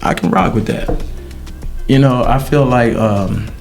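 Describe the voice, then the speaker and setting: A middle-aged man speaks slowly and haltingly, pausing often with ums and uhs. His voice sits at an ordinary pitch, and faint music plays behind him. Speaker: podcast host. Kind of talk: casual podcast chat.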